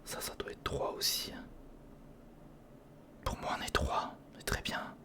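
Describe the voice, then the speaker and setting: A breathy, unvoiced whisper carries this ASMR commentary. The speaker mutters casually to themselves while working with their hands, repeating short phrases in informal slang, close to the mic. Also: measured pace. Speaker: ASMR video creator. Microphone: close-up studio condenser or handheld recorder.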